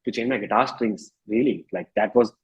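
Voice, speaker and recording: A man with an Indian accent talking candidly as an interview guest, emphatic but halting, often repeating phrases, in a husky voice. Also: lowish voice, lo-fi sound. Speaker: musician guest.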